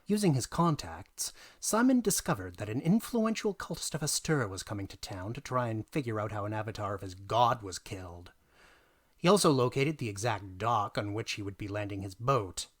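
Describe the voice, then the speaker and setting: A man's voice: fairly low pitch, fairly bright tone. Theatrical male storyteller. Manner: theatrical, emphatic, deliberate pauses for effect. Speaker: narrator. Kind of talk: dramatic reading of a tabletop gaming story.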